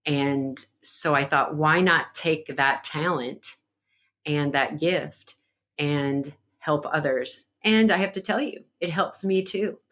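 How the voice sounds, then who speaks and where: A woman's voice: deep voice, slow, fairly bright tone. A woman speaking as a podcast guest, earnest and reflective, with fillers as she talks. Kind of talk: conversational interview.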